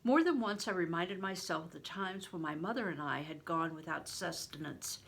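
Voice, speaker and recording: An older woman reading a novel aloud in an expressive, deliberate storytelling manner, stressing words for drama. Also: fairly low pitch, breathy edge. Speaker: reader, retired reading teacher. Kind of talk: expressive audiobook-style read-aloud of a novel.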